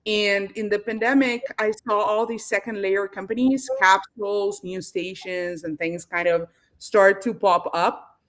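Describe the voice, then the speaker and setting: A woman from Argentina with an Argentine accent, a guest telling her career story in a casual interview, lively and laughing between phrases. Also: mid-range voice, measured pace. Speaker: guest, space company founder.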